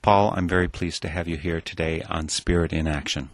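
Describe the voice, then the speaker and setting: A man with a very deep voice hosting an interview show. He frames his questions in a measured, thoughtful way. The sound is dry and close. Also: steady tone, no room sound. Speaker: radio show host.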